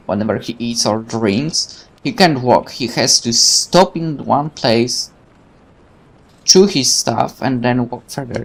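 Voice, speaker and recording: Guy doing a let's play, bit of vocal fry, reading story stuff out loud, rambles and trips on words. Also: medium pitch, low-quality recording. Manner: rambling asides, stumbling over words. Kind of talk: reading a visual novel aloud with casual commentary.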